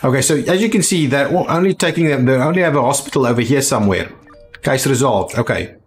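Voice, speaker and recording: Man doing casual, halting game commentary. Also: medium pitch, faint music behind. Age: adult. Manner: halting, thinking aloud. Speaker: gaming video host.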